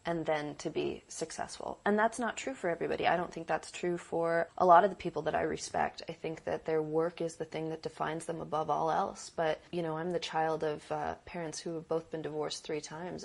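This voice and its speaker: Deep, gravelly-voiced woman producer. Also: level tone, moderate pace, few pauses. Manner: earnest, reflective, thinks aloud with restarts. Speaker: producer and director, guest. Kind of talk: casual interview conversation.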